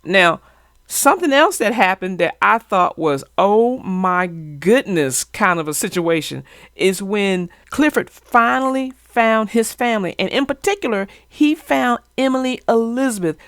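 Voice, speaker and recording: Expressive woman with lively, swooping pitch. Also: moderate pace, dry recording, clean studio sound. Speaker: host of a children's story channel. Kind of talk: conversational story discussion.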